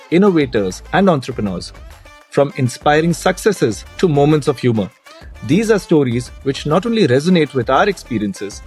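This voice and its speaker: A man's voice: a little high, darkish tone. A man hosting a podcast, reading a formal, enthusiastic prepared introduction and then asking long questions. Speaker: podcast host. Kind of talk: scripted podcast introduction and interview.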